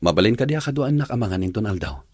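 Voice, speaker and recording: Radio drama lead: man playing a defensive man in love, Ilocano accent, slightly rough husky voice, dry sound. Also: fairly low pitch, sing-song, racing, darkish tone, no room sound. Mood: hesitant, guarded. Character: lovestruck, defensive man in love with a separated woman.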